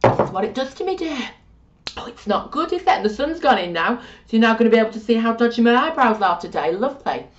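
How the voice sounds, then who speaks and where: A woman teaching a religious studies lesson in a chatty, animated way with self-deprecating asides, her voice getting croaky. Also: a little high, fast, distant mic, a little hiss or hum. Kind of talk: informal video lesson. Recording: muffled, roomy, from off-mic.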